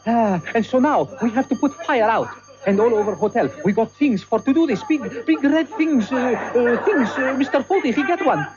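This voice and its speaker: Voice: medium pitch, expressive, normal speed, dull audio, natural voice. A stage actor playing a bumbling foreign waiter who narrates in broken English, dropping little words.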